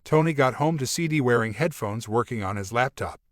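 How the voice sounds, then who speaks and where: A man's voice: mid-range voice, animated, a little fast, dry recording, studio quality. A man narrating a scripted story as a storyteller, in colloquial phrasing.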